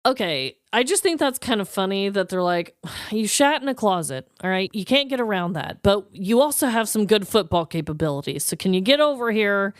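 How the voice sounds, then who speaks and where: A woman co-hosting a podcast in casual comedic banter, expressive and playful. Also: mid-range voice, brisk, few pauses, dry recording, clean studio sound. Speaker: podcast co-host.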